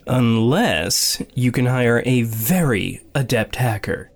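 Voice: medium pitch, lively intonation, slow. An actor playing a skeptical, sardonic young recruit in an audio drama, hesitant and emotionally guarded. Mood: wry. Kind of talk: naturalistic audio drama dialogue.

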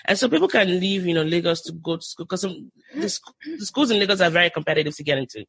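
Husky Nigerian woman, eager restarts. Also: on the low side, big pitch swings, fast, no echo. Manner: enthusiastic, with frequent restarts. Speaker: podcast guest. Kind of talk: casual interview chat.

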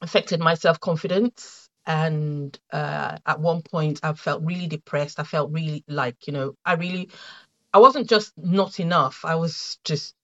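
A middle-aged woman with a Nigerian accent, a guest in an interview, telling her story in a reflective, halting way with many fillers and restarts. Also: sits low, highs cut off. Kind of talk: conversational interview.

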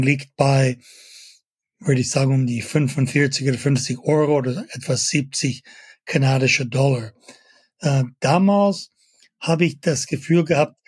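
Husky older man from Canada. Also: mid-range voice, no echo. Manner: halting, with many fillers and pauses. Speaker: interview guest, fitness industry veteran. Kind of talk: casual interview talk.

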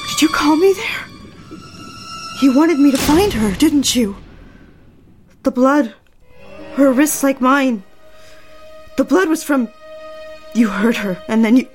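An actress playing a fragile, haunted young wife in an audio drama, halting and anguished in a melodramatic way. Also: female voice, high-pitched.

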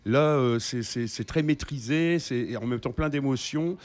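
A man's voice: mid-range voice, moderate pace, no room sound. A man from northern France co-hosting a radio show, rambling along and poking fun at himself. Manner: rambling, self-mocking, animated. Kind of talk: casual radio chat. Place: radio studio.

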